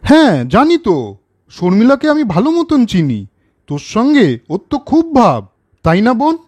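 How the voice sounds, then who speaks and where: A man voicing a caring, principled older brother in a children's cartoon story, questioning and gently lecturing. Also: very high-pitched, sing-song, moderate pace, exaggerated voice. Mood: affectionate.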